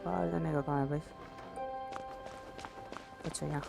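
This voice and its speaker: Fast, gravelly Indian streamer woman. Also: low-pitched, steady tone, loud music behind the voice. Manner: muttering asides, echoing names. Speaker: gameplay streamer. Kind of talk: casual gameplay commentary.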